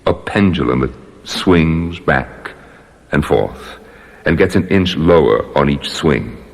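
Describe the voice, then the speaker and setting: An actor playing a coldly polite, menacing villain in an old radio drama, speaking in measured, mock-courteous threats. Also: male voice, extremely low pitch, expressive, creaky, heavily acted. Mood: sinister relish. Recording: hollow long-reverb radio drama recording.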